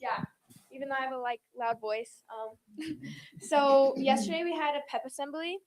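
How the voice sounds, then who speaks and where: A woman's voice: high voice, fairly even intonation, normal speed, slight background noise, low-quality recording. A teenage girl from student council giving a chatty report to the school board. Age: teens. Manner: chatty, with many fillers like "like".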